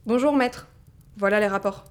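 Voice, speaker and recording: An actress playing a gruff, self-assured young female rebel in an audio drama, casual and plain-spoken. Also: medium pitch, moderate pace, close to an everyday voice. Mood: businesslike.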